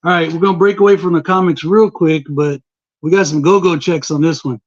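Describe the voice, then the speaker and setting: A man's voice: fairly high pitch, fairly even intonation, fairly quick, no room sound, dull audio. A man from West Texas chatting casually about his comic and record collection, drawling and easygoing. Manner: laid-back, drawling, says "baby" and "man". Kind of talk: casual group chat on a livestream.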